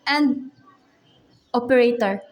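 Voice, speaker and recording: High-voiced, anxious, demanding wife played by a woman. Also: slow pace. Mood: agitated, urgent. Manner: fretful, insistent, imperious. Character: anxious, demanding invalid wife alone at home. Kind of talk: radio play acting.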